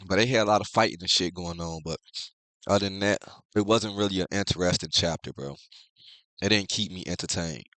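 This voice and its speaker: Young male host, low congested voice, relaxed slangy delivery, dry close sound. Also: brisk, no echo. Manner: laid-back slangy talk, heavy profanity. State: congested, clearing his throat. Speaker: manga review host. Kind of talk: casual chat.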